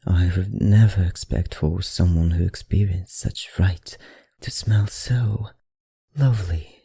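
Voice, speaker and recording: A performer plays a low, gravelly vampire librarian in an ASMR roleplay, seductive and teasing. The voice is very breathy and often sinks into a whisper, with a put-on foreign accent. The phrasing is slow, soothing and courtly. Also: steady tone, warm tone, no room sound, full character voice. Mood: enchanted, tender.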